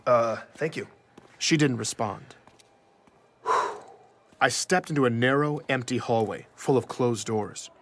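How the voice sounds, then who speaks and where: An actor playing a nervous investigative journalist in a scripted first-person audio drama. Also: male voice, mid-range voice, fairly bright tone. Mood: nervous, hesitant. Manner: measured narration, hesitant in dialogue. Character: nervous male investigative journalist narrating his own story. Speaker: narrator.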